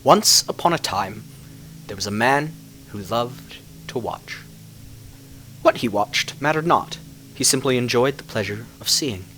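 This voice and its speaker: A man reading a dark tale as a dramatic narrator, with theatrical, deliberate emphasis and music behind him. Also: medium pitch, lively intonation, low-quality recording. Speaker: narrator reading lore books.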